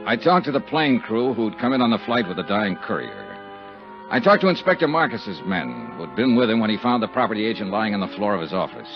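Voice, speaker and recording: An actor playing a wry, hard-boiled American insurance investigator in a 1950s radio drama, clipped and sardonic. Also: male voice, fairly low pitch. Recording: muffled vintage radio broadcast.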